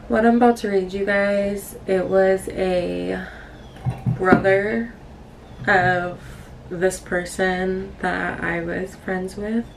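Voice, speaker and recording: A young woman talks casually and candidly to her viewers, wandering from thought to thought. Her voice is creaky and fairly even in pitch. The recording has clearly audible noise. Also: slow. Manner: rambling, candid, apologetic. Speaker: vlogger. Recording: distant mic, clearly noisy room.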